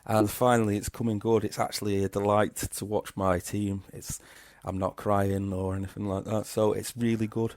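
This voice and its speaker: A man with a Liverpool accent co-hosting a casual football podcast, chatty and quick to laugh at himself. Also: lowish voice, little pitch movement, a little mellow, no echo. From Crewe, England. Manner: chatty, laughs often, self-deprecating. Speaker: podcast co-host.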